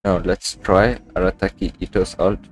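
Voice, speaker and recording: A man doing casual live gameplay commentary as a streamer, haltingly thinking out loud. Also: deep voice, flat delivery, no echo. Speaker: gaming streamer. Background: game music.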